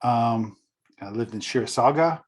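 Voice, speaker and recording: A man hosting a podcast interview, eager and excitable, talking in quick bursts with frequent restarts. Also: mid-range voice. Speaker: podcast host. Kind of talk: casual interview chat.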